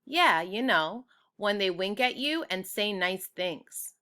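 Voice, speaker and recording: A woman voicing a curious, excitable third-grade girl with animated kid talk in a children's storybook. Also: mid-range voice, slow, clean tone. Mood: suspicious. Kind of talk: children's storybook read aloud.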